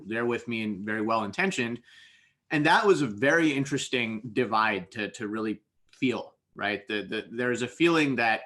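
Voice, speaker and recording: A man in his thirties from India speaks on a video-call panel. His voice is bright and sharp. He talks reflectively and often stops to restart a phrase. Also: mid-range voice. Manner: reflective, many restarts and repeated words. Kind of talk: panel discussion.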